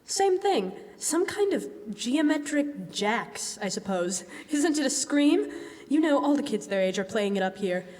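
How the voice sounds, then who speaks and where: Friend role for an actress: gossipy, breezy housewife, high singsong swings, old-style radio drama in a reverberant hall. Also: female voice, big pitch swings, measured pace, darkish tone. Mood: gossipy, amused. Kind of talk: live stage radio drama in classic style. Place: on stage in a school hall. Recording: echoey hall, live stage performance.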